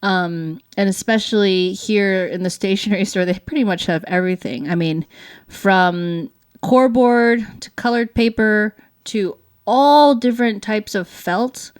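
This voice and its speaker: A woman's voice: medium pitch, a little slow, clean tone, dry recording. A woman from the United States, co-hosting a casual explanatory chat in an agreeable way. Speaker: co-host.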